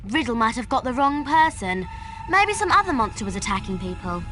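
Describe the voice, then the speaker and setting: Schoolgirl witch, high, sharp bright tone, bookish, earnest, game cutscene. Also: sing-song, fairly quick, faint music behind. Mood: thoughtful. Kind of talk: video game cutscene voice acting.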